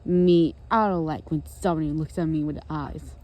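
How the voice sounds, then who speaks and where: A woman voicing a grumpy, sullen male storybook creature who complains slowly and bluntly. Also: deep voice, fairly even intonation, dark tone, pitched below the performer's own voice, lightly acted.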